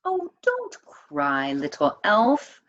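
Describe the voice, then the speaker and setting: A woman voicing a kindly, knowing thrush in a storybook, explaining gently and reassuringly. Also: medium pitch, wildly swooping pitch, very slow, lo-fi sound, exaggerated voice. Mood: comforting.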